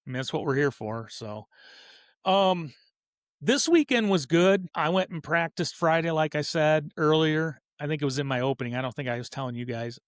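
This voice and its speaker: A man in his fifties with a slightly high voice, recorded dry and close. He hosts a casual hobby racing show and speaks his opinions earnestly, wandering and correcting himself along the way. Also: moderate pace, no echo. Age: 50s. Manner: earnest, rambling, self-correcting.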